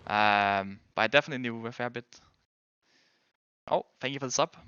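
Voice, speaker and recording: Young Danish man, bright and clear. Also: mid-range voice, moderate pace, no room sound, highs cut off. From Denmark. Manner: rambling, hedging, self-correcting. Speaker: game streamer and pro player. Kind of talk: casual chat answering viewers.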